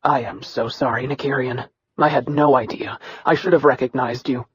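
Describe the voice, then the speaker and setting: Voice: medium pitch, steady tone, moderate pace, slightly rough, muffled recording, voice pushed down. A narrator voicing a middle-aged emperor who speaks in a shaken, apologetic way. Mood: remorseful.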